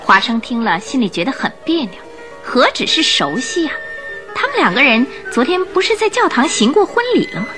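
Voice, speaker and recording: A woman giving expressive, theatrical storytelling narration for a radio drama. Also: high voice, brisk, over music.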